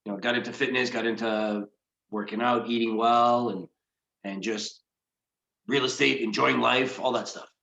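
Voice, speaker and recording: A man's voice: mid-range voice, steady tone, moderate pace, vocal fry, sharp tone, muffled recording. A man in his forties, a guest in a casual interview, joking and restarting his sentences. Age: 40s. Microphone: video call. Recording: echoey video-call audio.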